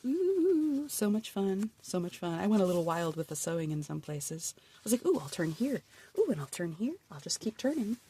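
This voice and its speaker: A woman's voice: medium pitch, sing-song, moderate pace, warm tone, breathy edge. A woman with a cold, chatting casually as she flips through her handmade journal, bubbly and often dropping to a murmur. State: sniffly from a cold. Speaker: crafter showing a handmade journal.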